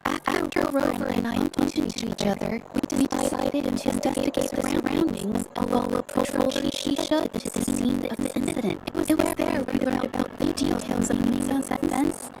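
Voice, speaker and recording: Game role: timid, anxious young woman, halting delivery, very creaky, loud music behind. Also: rumbling low, wildly swooping pitch, heavily acted, poor audio. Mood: nervous. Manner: hesitant, halting, apologetic. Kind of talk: video game character acting.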